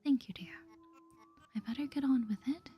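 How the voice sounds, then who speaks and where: A woman voicing a nostalgic, brisk elderly village lady from a cozy game in an airy, old-fashioned whisper. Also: sits high, muffled recording, pitched above the performer's own voice, lightly acted. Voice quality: breathy, whispered.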